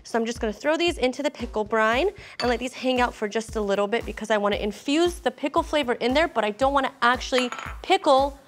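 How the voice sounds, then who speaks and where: A woman's voice: fairly high pitch, quick pace, hardly any pauses, slight background noise. A young woman hosting a cooking demonstration in a studio kitchen, bubbly and trash-talking. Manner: bubbly, trash-talking, swings loud and soft. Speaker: cooking show host. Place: home-style studio kitchen.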